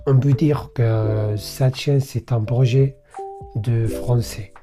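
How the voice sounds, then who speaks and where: A young Egyptian man whose first language is Arabic, speaking French with an Arabic accent in an unscripted vlog, full of pauses and self-corrections. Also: medium pitch, steady tone, music in the background. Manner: hesitant, many fillers and self-corrections. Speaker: vlogger learning French. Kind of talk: unscripted casual monologue to camera.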